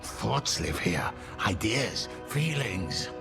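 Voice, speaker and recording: An actor playing a possessive, hungry demon in a video game, speaking in terse, clipped fragments with a breathy, often half-whispered voice. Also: male voice, lowish voice, very animated, extremely slow, over music, heavily acted. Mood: brooding, intense. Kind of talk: video game character acting.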